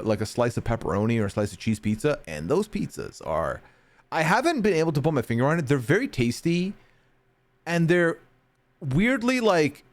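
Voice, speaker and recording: A man streaming and answering chat in a rambling, casual way with frequent laughs, his accent sometimes taken for British. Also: mid-range voice. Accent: mixed, sometimes heard as British. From North America. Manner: chatty, rambling, laughs often.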